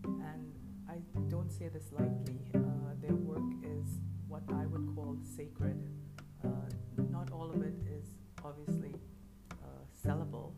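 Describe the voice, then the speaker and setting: A woman's voice: low-pitched, steady tone, measured pace, over loud music. A woman hosting an interview podcast, talking reflectively with fillers and asking questions in an upbeat way. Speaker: podcast host. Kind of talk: interview host chat.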